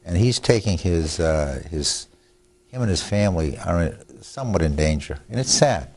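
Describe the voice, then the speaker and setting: A man's voice: low-pitched, expressive, moderate pace, darkish tone. An older man, a lawyer whose first language is Italian, talking in a studio interview with restarts and chuckles. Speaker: lawyer guest. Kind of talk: studio talk show interview.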